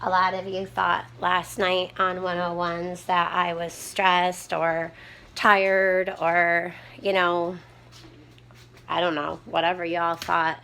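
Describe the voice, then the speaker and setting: A woman with a small, soft voice, chatting casually to her viewers from home with lots of ums while she tidies up. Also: on the low side, flat delivery, takes it slowly, vocal fry, slight background noise. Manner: rambling, many ums, homespun talk. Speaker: prepping vlogger. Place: home room, tidying a table.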